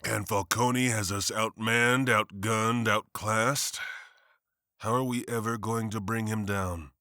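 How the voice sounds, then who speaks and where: An actor playing a defiant district attorney in an animated comic, sardonic and forceful. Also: male voice, fairly low pitch, slow, dry recording, studio quality, exaggerated voice. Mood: worn, frustrated.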